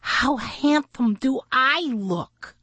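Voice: high-pitched, animated, very slow, bright, forceful tone, slightly rough, no room sound, pitched above the performer's own voice, heavily acted. A woman voicing a boastful, jealous little male pond critter who scoffs and shows off in a children's storybook. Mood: impatient, vain.

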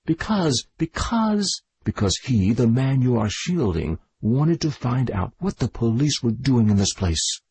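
A woman's voice: rumbling low, sing-song, unhurried, hardly any pauses, mellow, breathy edge, no echo, voice pushed up, exaggerated voice. A man voicing a frightened, coquettish young maid who pleads and trembles in a story reading. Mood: anguished.

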